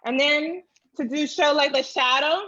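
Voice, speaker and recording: High-voiced woman in a casual drawing tutorial. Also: unhurried, bright, forceful tone, no room sound, dull audio. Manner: informal, chatty, thinking aloud. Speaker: illustrator demonstrating drawing.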